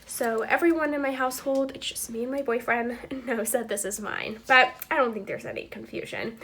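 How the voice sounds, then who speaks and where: A woman's voice: a little high, lively intonation, normal speed, a bit bright, slightly rough. A woman in her twenties, stuffy from a cold, hosting a chatty, upbeat budgeting walkthrough for her viewers. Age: 20s. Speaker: channel host.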